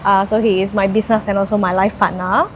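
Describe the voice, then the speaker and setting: A woman's voice: medium pitch, level tone, fast talker. Chatty woman, noisy recording. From Indonesia. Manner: chatty, explanatory, peppers talk with "you know". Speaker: interview guest, business co-founder. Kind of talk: conversational interview answers. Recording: noticeable background noise.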